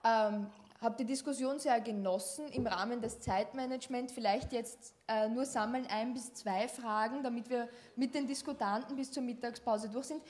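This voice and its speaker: A woman moderating a conference panel, briskly gathering questions from the audience and managing the time. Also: fairly high pitch, fairly even intonation, a little mellow. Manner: brisk, organizing. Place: conference hall.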